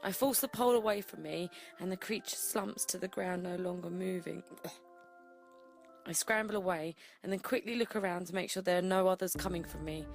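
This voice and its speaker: An actress playing a professional career woman surviving an apocalypse, narrating an audio drama in a deliberate, theatrical way. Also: fairly low pitch, vocal fry. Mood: panicked. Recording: voice over loud music bed. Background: music.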